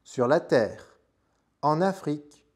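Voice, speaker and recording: A man voicing a calm, slow, enigmatic snake. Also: a little high, breathy edge, heavily acted. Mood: calm, cryptic.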